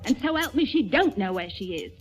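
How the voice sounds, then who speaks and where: A woman's voice: a little high, very animated, brisk, highs cut off. An actress playing a motherly, plain-spoken prison wardress, coaxing and folksy, in an old-time radio drama. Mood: soothing, concerned. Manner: folksy, coaxing, calls people dearie. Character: motherly, plain-spoken female prison warder, gently soothing. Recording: old radio recording with music.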